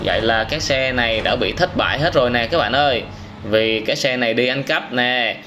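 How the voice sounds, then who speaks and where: A man's voice: mid-range voice, fairly quick. A man in his thirties giving exclamatory, simple storytelling commentary on game footage, as if for children. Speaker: host of gaming reaction videos for children. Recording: noisy, car engine sounds behind.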